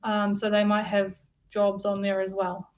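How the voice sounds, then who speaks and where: A woman, teaching English in a relaxed, friendly chat over a video call, with frequent ums. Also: mid-range voice, a little slow. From New Zealand. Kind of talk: casual lesson conversation.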